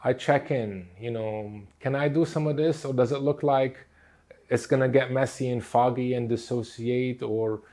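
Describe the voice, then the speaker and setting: A middle-aged man whose first language was Portuguese talks in a reflective, unhurried way, with many pauses and fillers. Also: medium pitch, steady tone, warm tone. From Lebanon. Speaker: speaker on inner child work. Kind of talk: reflective teaching talk.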